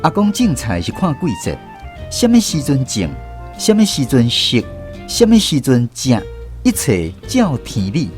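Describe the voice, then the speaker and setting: A man's voice: medium pitch, wildly swooping pitch, a little slow, a little mellow, faint music behind. A man with a Taiwanese accent narrating a scripted first-person story as a radio drama storyteller.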